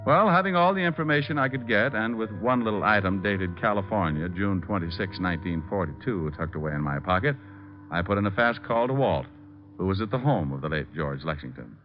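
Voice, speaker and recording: A man's voice: low-pitched, expressive, brisk. An American man playing a cocky, wisecracking private detective in 1940s radio drama style, wry and flippant. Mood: wry narrating. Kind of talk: 1940s radio drama acting and first-person narration. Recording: dull old radio recording with music.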